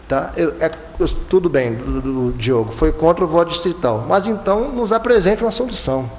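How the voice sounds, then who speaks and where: A fairly high-voiced man speaks with a Brazilian accent from the border of Minas Gerais and Bahia. His debate talk is emphatic, and his voice jumps up into indignant bursts. A long hall reverb trails behind him. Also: lively intonation, normal speed, darkish tone, a little hiss or hum. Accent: Brazilian Portuguese, Minas–Bahia border. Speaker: electoral prosecutor in a debate.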